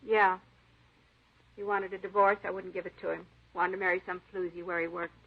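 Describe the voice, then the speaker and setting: An actress playing a weary, bitter spurned wife who speaks matter-of-factly, in a 1950s radio drama. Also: female voice, lowish voice, flat delivery, a little fast, creaky, slightly rough. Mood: resigned, bitter. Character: resigned, bitter spurned wife confessing to murder. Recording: muffled old radio broadcast.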